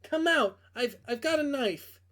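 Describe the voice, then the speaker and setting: Man voicing a panicked young woman, pitched way up. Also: lively intonation, measured pace, a bit bright, heavily acted. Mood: defiant, scared. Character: frightened, wounded young woman, panicked and desperate. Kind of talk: visual novel character reading.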